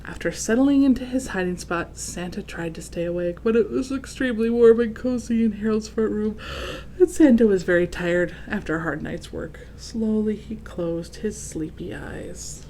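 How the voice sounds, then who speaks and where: A woman reading a children's picture book aloud in an expressive way, pausing to explain and react to the pictures. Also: mid-range voice, a little hiss or hum.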